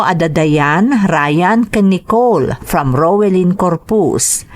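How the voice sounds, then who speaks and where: A woman with an Ilocano accent hosting a drama podcast, reading greetings and narrating letters from a script. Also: medium pitch.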